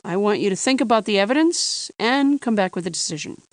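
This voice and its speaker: A woman's voice: mid-range voice, lively intonation, brisk, background noise, lightly acted. A woman playing a wry, weary cartoon judge with a clipped, commanding manner. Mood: brisk, wry.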